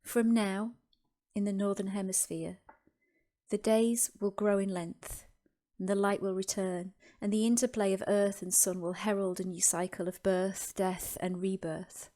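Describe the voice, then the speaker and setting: A woman narrating a scripted guided meditation as host, with deliberate, reverent phrasing and pauses. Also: mid-range voice, little pitch movement, dark tone.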